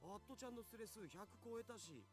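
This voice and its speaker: An actor playing an excitable geeky young otaku hacker with slangy speech in an anime-style visual novel. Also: male voice, high voice, racing, over music. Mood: geeky, eager. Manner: slangy, geeky speech with quirky sentence endings.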